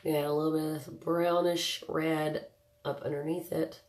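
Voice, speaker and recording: Brief: thirties woman, lilt, low and stuffy-nosed from a cold, chatty webcam host. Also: flat delivery, measured pace, darkish tone, slight background noise. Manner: chatty, joking, greets viewers by name. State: nasal voice from a cold. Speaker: makeup livestream host. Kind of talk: casual live makeup tutorial chat. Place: small home room indoors.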